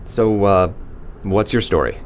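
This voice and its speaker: Man as a foul-mouthed injured crewman, very deep voice, blunt, faint hum behind. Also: unhurried, natural voice. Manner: blunt, swearing, wry.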